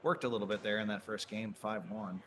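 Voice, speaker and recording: A man's voice: fairly low pitch, little pitch movement, brisk, a crowd in the background. A man in his thirties from Connecticut, calling live table soccer in an easygoing, chatty way. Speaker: commentator. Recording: live venue noise behind.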